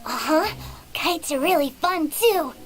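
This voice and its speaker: A woman voicing an innocent, excitable little boy in a video game, earnest and childlike. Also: high voice, measured pace. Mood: wide-eyed. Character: innocent, excitable little boy, wide-eyed younger brother. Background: light game music.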